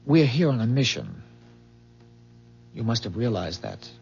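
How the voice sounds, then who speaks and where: A dull, muffled old radio drama recording of a calm, solemn man from a doomed future. His husky, creaky voice explains things in measured phrases, with pitch that moves widely for emphasis. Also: exaggerated voice. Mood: solemn, earnest. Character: calm, earnest male visitor from a dying future. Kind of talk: 1970s radio drama acting.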